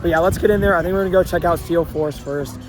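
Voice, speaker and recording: Hoarse, excitable young man vlogging. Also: fairly high pitch, quick pace. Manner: excitable, chatty, lots of ums and likes. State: losing his voice, hoarse. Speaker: vlogger host. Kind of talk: casual vlog walkthrough. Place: outdoors at an amusement park.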